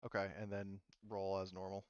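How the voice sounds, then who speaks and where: A man's voice: low-pitched, monotone, moderate pace, clear, resonant tone, no echo, dull audio. A man running a tabletop game as game master, explaining rules and thinking aloud in a casual way.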